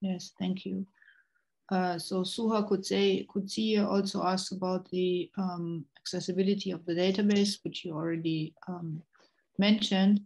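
A woman's voice: lowish voice, little pitch movement, unhurried, vocal fry, a little mellow, poor audio. A woman moderating an online academic lecture, reading out questions and inviting participants to speak. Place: indoor room on video call. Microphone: video call.

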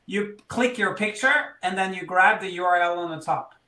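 A man with a Norwegian accent on a video call, hosting a webinar in a halting way with many fillers. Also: high-pitched, bright, forceful tone. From Norway. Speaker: webinar host. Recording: echoey room on a video call.

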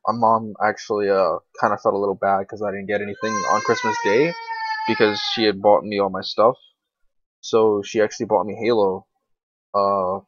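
A man's voice: on the low side, no echo. A sixteen-year-old male gamer giving casual, rambling commentary over gameplay in a hesitant, informal way. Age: teenager, 16. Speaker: gaming video creator. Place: indoors at a computer, screen recording. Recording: dull, lo-fi, dry computer mic.

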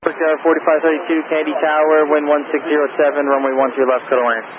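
A male air traffic controller rattles off clipped instructions in a level tone. His voice comes over a thin aviation radio channel with clear noise. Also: on the high side, level tone, fast talker, phone-quality sound.

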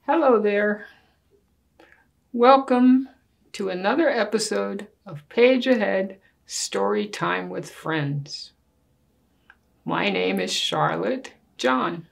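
An older woman reading a picture book aloud to children in a deliberate manner, putting questions to her listeners. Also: mid-range voice, vocal fry. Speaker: story time reader. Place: small indoor room with books and wall quilt.

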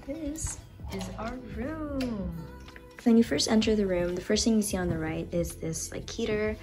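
A young woman from Indonesia talks in a medium-pitched voice, informal and bubbly. She chats like a vlogger showing friends around, with light music in the recording. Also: a little fast. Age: young adult. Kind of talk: casual room-tour vlog chat. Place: small furnished dorm rooms and bathroom.

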